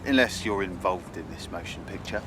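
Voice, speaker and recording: An actor playing a curt, dismissive gang villain in a comedy radio play. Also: male voice, low voice, brisk, close to an everyday voice. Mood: cold, dismissive. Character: curt, dismissive villain posing as film crew. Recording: noisy outdoor street with traffic.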